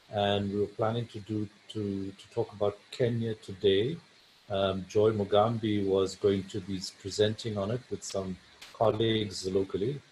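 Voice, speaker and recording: A man's voice: lowish voice, darkish tone, a little hiss or hum, low-quality recording. A man hosting a webinar over a video call, speaking hesitantly with many fillers. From South Africa. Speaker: webinar host, family physician.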